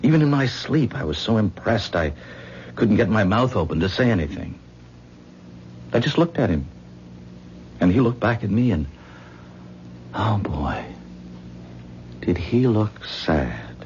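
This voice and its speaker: A hushed, uneasy dreamer. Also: male voice, on the low side, sing-song, measured pace, long pauses. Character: troubled young man recounting a strange dream. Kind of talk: 1970s radio drama acting. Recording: dull old radio broadcast with faint hiss.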